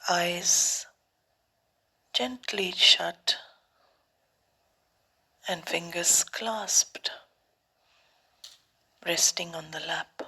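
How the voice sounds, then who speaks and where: Woman, guided meditation teacher, soft near-whisper, very breathy, crisp bright edge, calm, deliberate, long pauses. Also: lowish voice.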